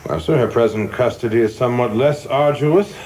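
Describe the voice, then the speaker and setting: An actor playing a coldly zealous young government official in a radio play, speaking formally and insistently with polite deference that cuts. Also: male voice, mid-range voice, fairly quick, darkish tone, lightly acted. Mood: coldly argumentative. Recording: clearly noisy background ambience.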